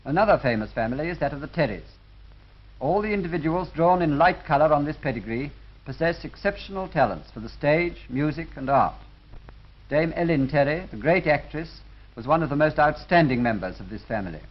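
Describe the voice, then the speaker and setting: A man with a British accent narrating a scripted 1930s film in a formal, measured way. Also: on the high side, expressive. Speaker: documentary narrator. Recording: dull lo-fi 1930s film soundtrack.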